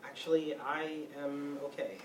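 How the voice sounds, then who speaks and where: Man acting as troubled young man, apologetic, unhurried, stage reading, distant echoey room. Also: on the high side, fairly even intonation, lightly acted. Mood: subdued, sincere. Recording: echoey room from far off, noisy.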